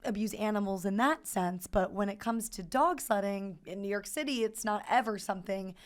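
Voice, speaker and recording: Hoarse woman podcast co-host. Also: mid-range voice, normal speed. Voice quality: raspy from yelling. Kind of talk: casual chat.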